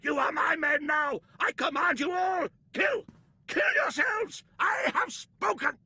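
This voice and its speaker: An actor playing a deranged, pompous Imperial commander in a video game, barking imperious orders in a shrieking rant. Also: male voice, very high voice, animated, measured pace, sharp tone, shouting, exaggerated voice. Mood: manic rage. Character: deranged, pompous male Imperial military commander, ranting in rage.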